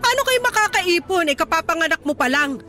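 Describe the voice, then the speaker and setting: A woman's voice: very high-pitched, expressive, a little fast, soft background music, exaggerated voice. An actress voicing a middle-aged poor mother who scolds and blames her grown daughter, in a radio drama. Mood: scolding. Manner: sharp, reproachful, nagging. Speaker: actor.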